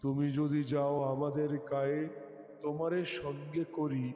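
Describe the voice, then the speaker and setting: A man's voice: a little high, level tone, measured pace. A man reciting a poem expressively, as a poetry reciter does, over music. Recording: dull, echoey with long reverb.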